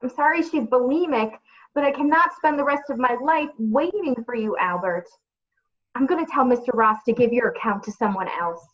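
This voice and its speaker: A woman acts out a lovesick office accountant who is fed up and pleading. Her voice is high and melodramatic, in radio play comedy style, with slightly muffled sound. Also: expressive, normal speed, natural voice. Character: lovesick, fed-up female accountant having an affair.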